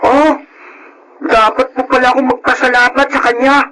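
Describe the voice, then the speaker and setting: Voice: high voice, level tone, normal speed, a crowd in the background, close to an everyday voice, poor audio. A performer playing a polite, grateful child in a Filipino radio drama, with a Filipino accent.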